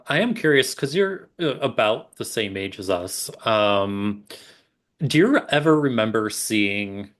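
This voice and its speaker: A man's voice: on the low side, fairly bright tone. A man in his late thirties chatting casually as a podcast co-host. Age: late 30s. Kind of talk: casual chat.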